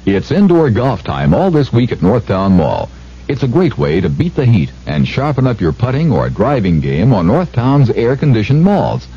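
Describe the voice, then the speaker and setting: A man's voice: mid-range voice, huge pitch swings, moderate pace, dark tone, husky. A man announcing an upbeat vintage local radio advertisement. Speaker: commercial announcer. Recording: muffled vintage radio broadcast.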